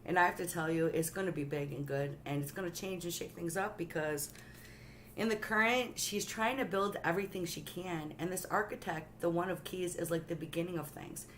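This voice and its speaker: A woman with a lowish voice, strained after a coughing fit, leads a live tarot reading from home. She talks to her viewers in a chatty, gentle way and sometimes drops almost to a whisper. Faint background noise sits under the voice. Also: fairly quick. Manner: says she has a loud voice but keeps it soft. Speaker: tarot reader hosting a live stream. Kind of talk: casual live-stream chat and tarot reading.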